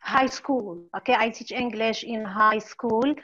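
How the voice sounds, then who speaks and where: A woman's voice: a little high, measured pace, fairly bright tone, a little hiss or hum. A middle-aged woman from Morocco with a Moroccan accent, an English teacher introducing herself informally on a call. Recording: dull, lo-fi call audio.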